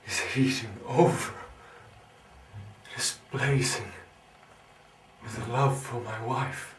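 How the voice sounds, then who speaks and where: An actor playing an anguished, brooding scientist villain in a theatrical monologue, grandiose and melancholy, with halting dramatic pauses. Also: male voice, mid-range voice, natural voice. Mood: bitter, anguished. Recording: roomy, distant, slightly noisy recording.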